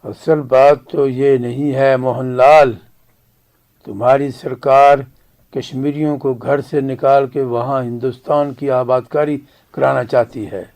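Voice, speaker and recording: A proud family patriarch in a radio play. His mid-pitched voice is grave and measured, with firm, defiant emphasis. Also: creaky, darkish tone. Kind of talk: radio drama acting.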